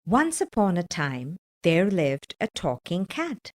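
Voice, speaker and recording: A woman's voice: lowish voice, sing-song, takes it slowly, slight background noise. A woman narrating a children's fairytale from a script, as a storyteller.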